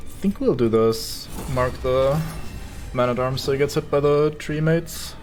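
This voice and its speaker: A man's voice: medium pitch, a little slow, a little mellow, faint music behind. Sarcastic German-accented man streaming a game. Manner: sarcastic, joking with the game, swears. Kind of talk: casual gameplay commentary.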